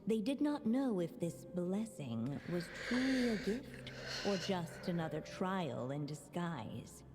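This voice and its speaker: A woman playing a measured fairy-tale narrator in a video game, solemn and deliberate. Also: fairly low pitch, big pitch swings. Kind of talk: scripted storybook narration. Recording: game music behind. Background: game music.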